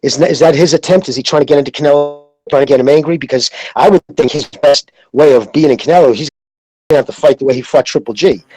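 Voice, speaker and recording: A man's voice: on the high side, fast, poor audio. A blunt, sweary man from the United States in a casual, rambling sports chat. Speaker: podcast panelist.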